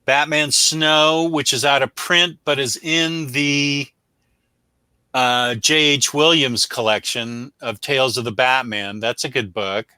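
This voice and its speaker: A man's voice: medium pitch, steady tone, slow pace, pushed and bright, clean tone, dry recording. A man hosting a comic book review show in casual livestream chat, rambling along in a self-deprecating way.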